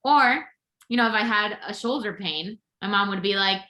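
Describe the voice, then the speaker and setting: Bright-voiced woman in an echoey room. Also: medium pitch, normal speed. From Venezuela. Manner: open, upbeat storytelling with frequent laughs. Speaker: podcast guest, intuitive coach. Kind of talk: casual interview chat.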